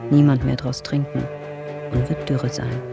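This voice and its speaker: A performer playing a solemn reader of last notes in a radio play, with a gravelly voice and measured, poetic delivery. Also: medium pitch, little pitch movement, music in the background. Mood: mournful, resigned.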